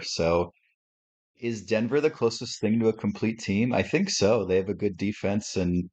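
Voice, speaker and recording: Male host, very low sportscaster-like voice, relaxed and thinking aloud; dull lo-fi mic. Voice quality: deep sportscaster-like radio voice. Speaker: live stream host. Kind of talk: casual live game reaction commentary. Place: home with a TV on. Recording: slightly muffled lo-fi audio.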